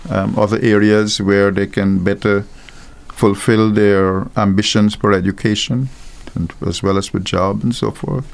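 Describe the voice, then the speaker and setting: An older man from Guyana, a pastor speaking as a guest on a call-in radio show, unhurried and rambling, with many ums and small laughs. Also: on the low side, creaky, clear, resonant tone. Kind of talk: unscripted radio talk.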